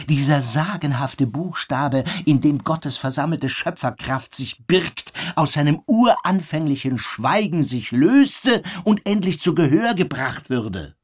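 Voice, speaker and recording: An actor in a German radio play playing an earnest, eccentric witness who tells a fantastical story in florid, insistent language. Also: male voice, on the high side, breathy edge, full character voice.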